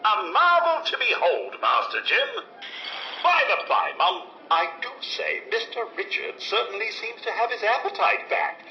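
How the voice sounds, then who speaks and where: An actor playing a polite British robot butler in an old-time radio drama, formal, fussy and deferential. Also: male voice, high voice, sing-song, normal speed, faint music behind. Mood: cheerful, formal. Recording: echoey lo-fi radio drama, faint noise.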